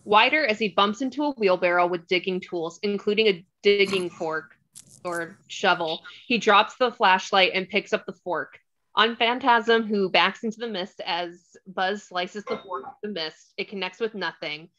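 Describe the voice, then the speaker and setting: A woman's voice: mid-range voice, normal speed, fairly bright tone, dull audio. A woman narrating the stage directions of a screenplay in a scripted read. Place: remote online table read.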